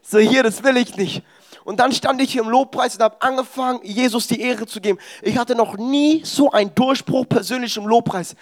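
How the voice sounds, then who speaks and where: High-voiced young preacher. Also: male voice, normal speed. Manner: emphatic, exhorting preaching. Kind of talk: sermon. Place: church service.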